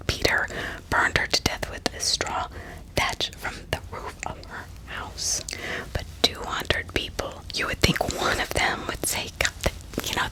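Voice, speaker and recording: A woman narrating true-crime stories in an ASMR style, in a breathy, unvoiced whisper with sympathetic, dramatic storytelling. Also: poor audio. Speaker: ASMR host.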